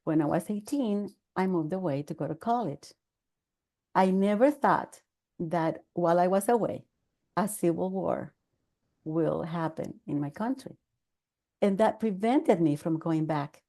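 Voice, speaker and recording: Older Salvadoran woman with a mellow voice. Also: on the low side, lively intonation. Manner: measured, earnest storytelling with fillers. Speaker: podcast guest, author. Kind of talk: conversational interview.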